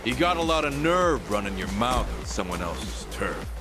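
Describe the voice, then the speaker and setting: An actor playing a belligerent yakuza street thug in a video game, coarse and threatening. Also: male voice, lowish voice, sing-song, normal speed, music in the background. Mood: menacing.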